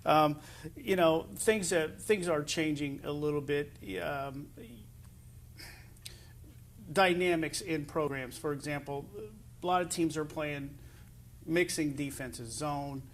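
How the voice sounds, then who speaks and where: A man's voice: a little high, slow pace, a little hiss or hum. A man with an American accent hosting a casual sports interview as the show's interviewer. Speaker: interview show host.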